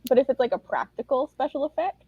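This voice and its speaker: A woman's voice: high-pitched, expressive, fast talker, slightly rough, no echo, lo-fi sound. A woman chatting casually on a group video call. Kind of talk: casual chat.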